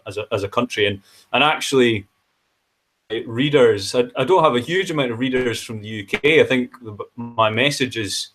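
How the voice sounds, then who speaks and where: A man's voice: medium pitch, fairly bright tone. Hesitant man with a Scottish accent. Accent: Scottish, east coast. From Scotland. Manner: hesitant, many fillers and restarts. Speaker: podcast guest. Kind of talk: casual interview talk.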